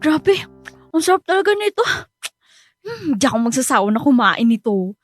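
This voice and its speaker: An actress playing a playful, doting young pregnant wife in a Filipino radio drama. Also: female voice, sits high, big pitch swings, normal speed, no room sound, clean studio sound, heavily acted. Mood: playfully defensive. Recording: music clearly behind the voice.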